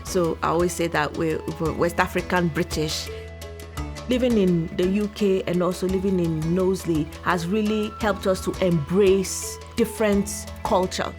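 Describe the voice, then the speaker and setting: Deep-voiced woman, proud and earnest. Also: fairly even intonation, measured pace. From Ghana. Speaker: interviewee. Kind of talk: documentary interview. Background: background music.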